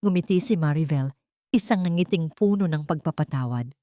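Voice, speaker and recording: A Filipino woman narrates a dramatic story in a fairly low voice. Her phrasing is solemn and measured, and the recording is dry and close. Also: lively intonation, mellow, no room sound. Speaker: audiobook narrator.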